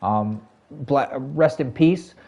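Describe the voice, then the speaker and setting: Casual Canadian male streamer, warm dark tone, chatty storyteller with fillers. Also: medium pitch, extremely slow. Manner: rambling, lots of fillers and self-corrections, talks to chat. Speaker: game streamer. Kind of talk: casual storytelling to a live chat.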